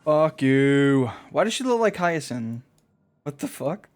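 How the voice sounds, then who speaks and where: A man's voice: on the high side, big pitch swings, unhurried. A man livestreaming a game with casual, offhand commentary, slangy and given to swearing. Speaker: game streamer. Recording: game music behind the voice. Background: game music.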